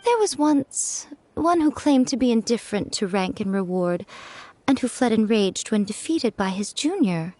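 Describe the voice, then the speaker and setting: An actress playing a sly, elegant noblewoman in a game, speaking with teasing, composed calm. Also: mid-range voice, dry recording, natural voice.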